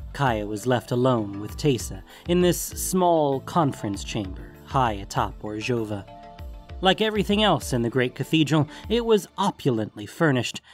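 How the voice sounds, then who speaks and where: A man acting as narrator, reading scripted fantasy fiction. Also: medium pitch.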